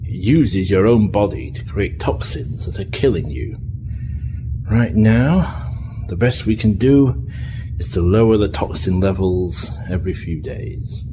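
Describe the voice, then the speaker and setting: Low-voiced, firm ship's doctor acted by a man. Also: animated. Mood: grave, patient. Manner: measured, admonishing, clinical. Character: starship doctor, patient but firm, scolding man. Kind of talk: audio drama acting. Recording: clearly noisy recording with background ambience.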